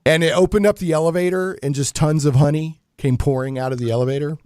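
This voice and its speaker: A man hosting a casual geek-news talk show, chatting in a jokey, animated way with playful emphasis. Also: a little high, quick pace, dry recording, studio quality. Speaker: radio talk show host.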